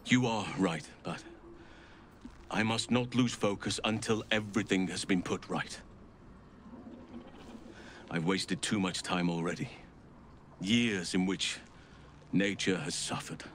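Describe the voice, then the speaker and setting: An actor playing a solemn, gentle middle-aged elven archdruid in a fantasy game, speaking in a measured, earnest, formal way. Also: male voice, low-pitched, slight background noise. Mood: resolute. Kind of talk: scripted fantasy game dialogue.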